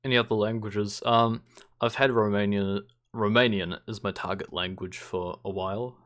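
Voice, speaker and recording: A man's voice: on the low side, fairly even intonation, moderate pace, fairly bright tone. A young man hosting a podcast, chatting casually with short replies and the odd dry joke. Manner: laid-back, short replies, dry jokes. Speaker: podcast host. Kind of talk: casual chat.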